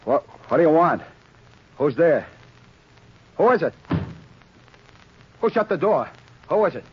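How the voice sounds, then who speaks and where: An actor playing a jittery, dim gangster henchman with rough, slangy talk in a 1930s radio drama. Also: on the high side, sing-song, measured pace. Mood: frightened. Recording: muffled, noisy 1930s radio recording.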